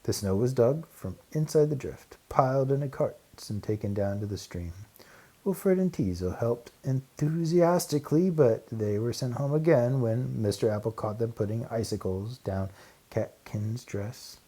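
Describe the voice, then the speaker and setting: Mellow man reading a children's story. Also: mid-range voice, a little slow. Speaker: storybook reader. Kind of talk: expressive children's story narration.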